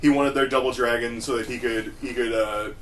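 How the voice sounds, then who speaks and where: A man's voice: medium pitch, fairly even intonation, brisk, a bit bright, low-quality recording. Man explaining opinions over background noise. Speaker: podcast co-host. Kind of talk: casual opinionated film discussion.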